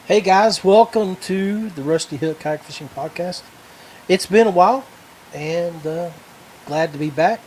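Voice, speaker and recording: Higher-pitched man chatting with faint background noise. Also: darkish tone, low-quality recording. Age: middle-aged. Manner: halting, rambling delivery. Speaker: podcast host. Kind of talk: casual solo chat.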